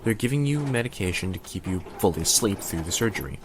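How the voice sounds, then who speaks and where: A man playing a calm, reassuring surgical team medic in an audio roleplay. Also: deep voice, fast, background noise. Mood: calm, soothing. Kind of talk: ASMR comfort roleplay.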